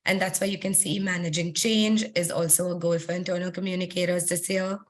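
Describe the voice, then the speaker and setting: Woman presenting, low-ish slightly hoarse voice. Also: little pitch movement, a little fast. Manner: conversational, with frequent ums and throat clearing. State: losing her voice. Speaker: webinar presenter. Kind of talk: presenting survey results in a webinar. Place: online webinar.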